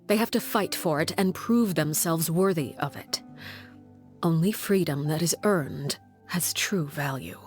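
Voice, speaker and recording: An actress playing a stern, composed woman who leads an orphanage in a game, speaking in a calm, measured, commanding way. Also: low voice, soft background music. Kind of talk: game cutscene voice acting.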